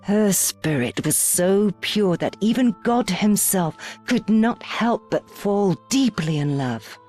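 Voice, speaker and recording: A woman's voice: mid-range voice, animated, takes it slowly, dark tone, faint music behind. A woman playing a solemn, reverent storyteller, narrating a legend dramatically in a video game.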